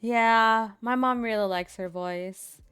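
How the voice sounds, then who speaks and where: Smooth-voiced chatty young woman. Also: takes it slowly, fairly bright tone, no room sound, soft background music. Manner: chatty, laughs often, acts out quotes in a high voice. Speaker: streamer host. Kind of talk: casual chat. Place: home room.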